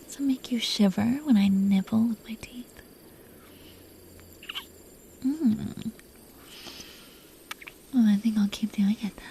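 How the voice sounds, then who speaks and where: A woman playing a possessive, proud but secretly tender dragon queen in an intimate roleplay audio drama, breathy and teasing. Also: fairly high pitch, slow pace, long pauses, mellow, a little hiss or hum. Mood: seductive whisper. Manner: breathy, teasing, haughty, lingering delivery.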